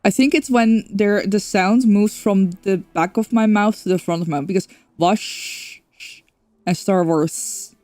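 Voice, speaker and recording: A woman's voice: medium pitch, unhurried, a little mellow, no room sound. A woman from the Netherlands with a Dutch accent, chatting casually while streaming a game, rambling with lots of fillers. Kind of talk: casual gameplay stream chat.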